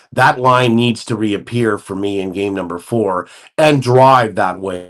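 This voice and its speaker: A man in the analyst chair of a sports talk show, working through scenarios out loud in a long, expansive stretch. His pitch sits in the everyday middle for a man, and he keeps building each point. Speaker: hockey analyst guest.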